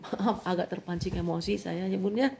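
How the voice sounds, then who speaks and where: Middle-aged woman vlogger, Sundanese accent, animated and indignant as she vents. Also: lowish voice, normal speed, vocal fry, slight background noise. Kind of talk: venting monologue.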